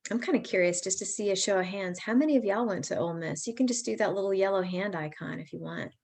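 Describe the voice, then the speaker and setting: A woman hosts a parent session on a video call. She sounds chatty and reassuring, with a voice at middle pitch. She keeps her talk friendly and easygoing. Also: fast, vocal fry, a little mellow. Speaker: pre-college program staff host. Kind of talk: casual virtual information session.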